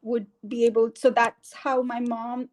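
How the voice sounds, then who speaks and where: A woman's voice: on the high side, level tone, unhurried. A middle-aged woman from India with an Indian English accent, telling her life story in an interview, with many fillers and restarts. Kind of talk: casual conversational interview.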